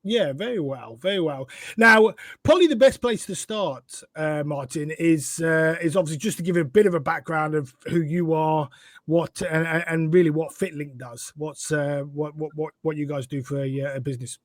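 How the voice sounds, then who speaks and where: A man's voice: a little high, moderate pace, no room sound, clean studio sound. A man hosting a podcast, asking questions in a casual way and often stumbling over and repeating his words. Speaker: podcast host. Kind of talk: casual interview chat.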